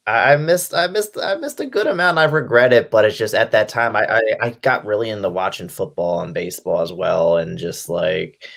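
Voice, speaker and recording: A man's voice: on the low side, a little fast, vocal fry, hardly any pauses, fairly bright tone. A man in his twenties giving a casual, conversational interview as a guest, loose and informal in phrasing. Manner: conversational, peppers speech with "like" and "you know". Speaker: interview guest, wrestling fan and promoter. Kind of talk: casual chat.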